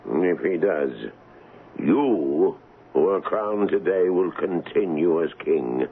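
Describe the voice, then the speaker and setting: Muffled vintage radio drama with faint hiss. a loyal veteran colonel, low and very changeable in pitch. He speaks deliberately, with theatrical emphasis. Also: animated. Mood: grave, reasoning. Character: loyal, excitable veteran colonel in a royal court. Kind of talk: 1970s radio drama acting.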